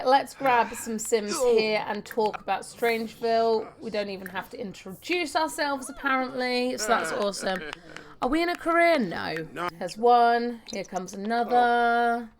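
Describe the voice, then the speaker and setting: A woman doing casual, chatty video game walkthrough commentary, talking to herself as she plays and reading out on-screen text. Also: on the high side. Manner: chatty, talks to herself while playing.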